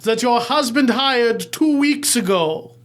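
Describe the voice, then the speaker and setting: A man's voice: extremely high pitch, expressive, takes it slowly, noisy background, pitched above the performer's own voice, heavily acted. A man playing a pompous detective making a grand accusatory reveal. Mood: accusing. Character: pompous male detective giving a big reveal.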